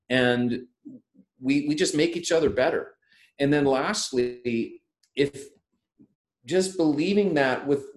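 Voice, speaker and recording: Earnest male church leader in a roomy hall. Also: mid-range voice. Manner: earnest, measured, sermon-like. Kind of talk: panel talk.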